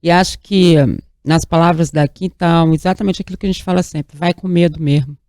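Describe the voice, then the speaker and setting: A woman's voice: lowish voice, fairly even intonation, brisk, dark tone, no echo, studio quality. Emphatic, enthusiastic female talk show host. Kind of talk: interview and show sign-off.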